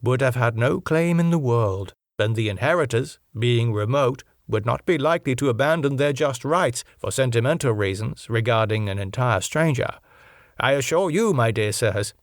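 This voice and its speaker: A man's voice: medium pitch, lively intonation, normal speed, no echo, clean studio sound, natural voice. A man voicing a genial, self-satisfied solicitor in an audiobook, with a formal, wordy, officious manner. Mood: pleased.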